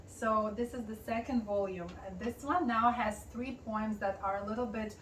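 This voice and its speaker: A woman's voice: a little high, normal speed. A woman whose first language is Russian, chatting as an interview guest at home and explaining with many fillers. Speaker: interview guest, poet and artist. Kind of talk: casual interview. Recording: roomy, distant mic, faint hiss.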